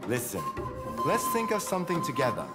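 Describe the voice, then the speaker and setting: Wry samurai, hugely swooping intonation. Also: male voice, fairly high pitch, huge pitch swings, fairly quick. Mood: wry, supportive. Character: wry, teasing, loyal male samurai comrade. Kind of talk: dramatic video game cutscene acting. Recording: loud background music.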